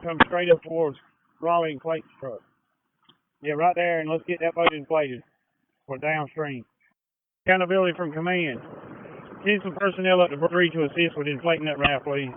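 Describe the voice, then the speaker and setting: American man, incident commander, fairly high voice, brief orders, muffled clicking radio. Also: fairly even intonation, moderate pace, long pauses, slight background noise. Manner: directive, brief instructions. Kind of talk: emergency radio dispatch traffic. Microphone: two-way emergency radio.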